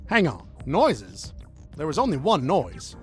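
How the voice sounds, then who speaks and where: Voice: mid-range voice, huge pitch swings, measured pace, faint music behind. A performer playing a brash, stubborn young character in an audio drama, blunt and slangy. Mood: indignant. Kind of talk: anime-style audio drama.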